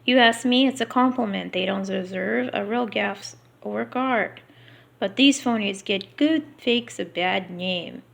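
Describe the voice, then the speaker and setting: Gravelly-voiced woman reading aloud. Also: medium pitch, a little slow, a bit bright. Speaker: vlogger reading a book aloud. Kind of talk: unrehearsed read-aloud with casual asides.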